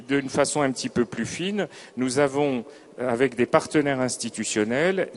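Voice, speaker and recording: Man speaking formally, faint background noise. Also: mid-range voice. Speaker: local authority representative on a panel. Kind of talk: formal seminar panel speech. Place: seminar venue, round table.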